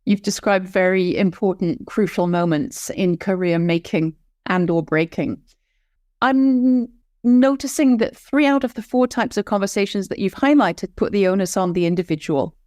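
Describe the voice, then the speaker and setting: A woman's voice: mid-range voice, normal speed, darkish tone, dry recording, clean studio sound. Reflective woman hosting an interview. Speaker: podcast host. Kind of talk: interview questions.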